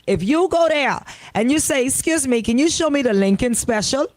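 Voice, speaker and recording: A woman's voice: high-pitched, expressive, moderate pace, a little mellow. A woman, a radio co-host chatting in a playful, teasing way. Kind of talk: bantering radio chat. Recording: noticeable background noise.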